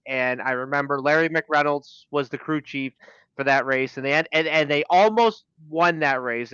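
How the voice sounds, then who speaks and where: Bright, halting male podcast co-host. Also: mid-range voice, clean tone, dry recording. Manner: halting, many fillers, laughs often. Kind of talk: casual sports chat. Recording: dull, slightly lo-fi sound.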